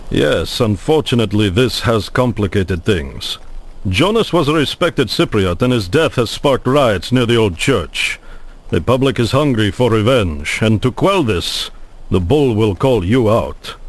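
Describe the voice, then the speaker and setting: An actor playing a sly, bargaining resistance agent in a medieval video game, genial yet calculating. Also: male voice, fairly low pitch, expressive, measured pace. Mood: wry, concerned. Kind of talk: scripted video game voice acting.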